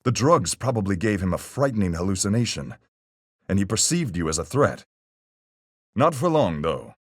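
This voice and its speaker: An actor playing a gruff, level-headed sea captain with salty slang and a folksy, measured manner in a video game. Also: male voice, fairly low pitch, sing-song, no room sound. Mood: grave, matter-of-fact. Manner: salty seafaring slang, measured deductive delivery. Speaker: voice actor in a fan-made mystery game. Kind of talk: video game character voice lines.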